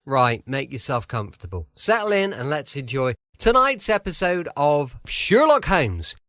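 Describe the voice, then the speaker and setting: Lively, chatty English man hosting. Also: fairly high pitch, unhurried, dry recording, clean studio sound. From southwest United Kingdom. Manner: informal, cosy, welcoming. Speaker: podcast host. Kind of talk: chatty podcast introduction. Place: home studio.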